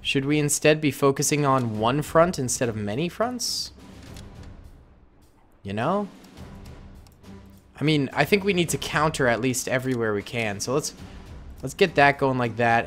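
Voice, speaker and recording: A man's voice: mid-range voice, a bit bright. A young man casually commenting on his gameplay in a playful, jokey way, with slang. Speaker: gaming YouTuber.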